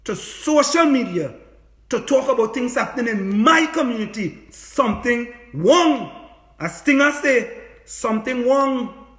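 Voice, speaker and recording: Casting: Trinidadian man, strikingly high-pitched, informal activist livestreamer, insistent and repetitive delivery, roomy echo. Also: fairly bright tone. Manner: emphatic, repetitive, rhetorical questioning. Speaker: livestream host, political activist; business lecturer. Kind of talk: impassioned informal political livestream monologue. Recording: echoey room, livestream.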